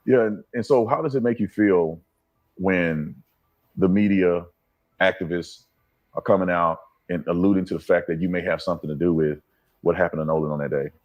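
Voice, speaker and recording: A man's voice: low voice, normal speed, dull audio. A man asking informal interview questions, a little hesitant in how he phrases them.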